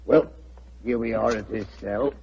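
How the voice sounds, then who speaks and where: An actor playing a blustering, self-assured police inspector in a 1940s radio drama. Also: male voice, on the low side, a little slow, creaky, noisy background. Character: blustering police inspector, middle-aged man. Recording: muffled old radio recording.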